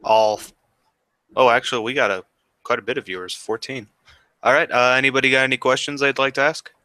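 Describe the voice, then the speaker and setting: A young male podcast host with a bright, forceful tone, recorded close and dry with no room sound. He asks his questions in an easygoing, offhand manner. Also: mid-range voice, fairly quick, no room sound. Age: 20s. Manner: relaxed, laid-back questioning. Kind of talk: casual podcast chat.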